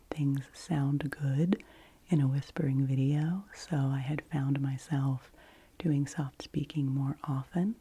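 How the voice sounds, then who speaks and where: A woman hosting an ASMR channel, rambling in a deep, low, soft-spoken voice that keeps dropping into whispers, close to the microphone. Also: a little hiss or hum. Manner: alternates soft low speaking with breathy whispers.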